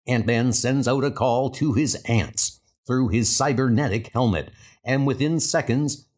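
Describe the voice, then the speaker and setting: A man's voice: mid-range voice, a little slow. A man giving dramatic scripted narration for a comic-book story. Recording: loud music under the voice.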